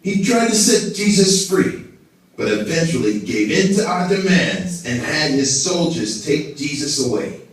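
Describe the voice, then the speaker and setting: A man's voice: on the high side, big pitch swings, a little slow. Man playing a formal council-member puppet, very echoey. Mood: evasive. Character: evasive, self-important male religious council member puppet. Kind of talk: scripted puppet show dialogue. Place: indoor hall, behind a puppet stage. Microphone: far camera in the audience. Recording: echoey room, distant audience camera.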